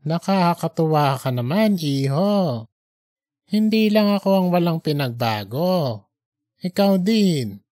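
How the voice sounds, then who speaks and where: A devout elder from an audiobook story, acted by the narrator. The speech is slow, gentle and fatherly, with a pious, kindly temper. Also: mid-range voice, lively intonation, dry recording, clean studio sound. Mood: fond, reminiscing.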